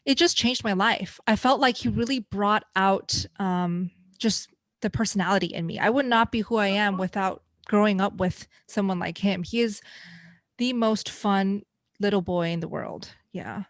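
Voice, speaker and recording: A soft-spoken woman in her twenties, a guest in a casual chat, who laughs often. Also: mid-range voice, normal speed, no echo, clean studio sound. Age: 20s.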